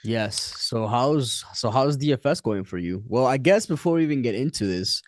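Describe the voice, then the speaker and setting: A man's voice: mid-range voice, expressive, normal speed. A man in his late twenties hosting a casual interview, talking in informal, buddy-like slang. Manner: informal, bro-style slang. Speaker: podcast host, interviewer. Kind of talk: casual chat.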